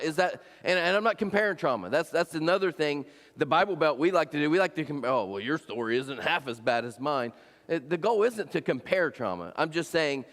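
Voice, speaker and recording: A man's voice: fairly high pitch, fairly bright tone. A man in his forties from Ohio, preaching a sermon in a loose, conversational way, with restarts and frequent "right?" asides to the congregation. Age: 40s. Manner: conversational, repeats and restarts, asks the crowd "right?". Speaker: pastor. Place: church auditorium. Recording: long reverb of a large church hall.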